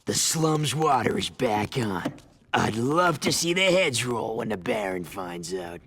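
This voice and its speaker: An actor playing a gruff, cynical ex-guard turned underground rebel in a video game, raspy, with dry menacing sarcasm. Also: male voice, medium pitch, big pitch swings, a little slow, fairly bright tone, full character voice. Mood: grim, cynical. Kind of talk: video game dramatic acting.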